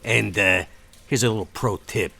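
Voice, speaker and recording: Low gravelly vampire enforcer. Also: male voice, normal speed, sharp tone, heavily acted. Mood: smug. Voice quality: gravelly, raspy. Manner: wry, folksy old-fashioned slang. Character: sly gravel-voiced vampire enforcer, wryly taunting, man.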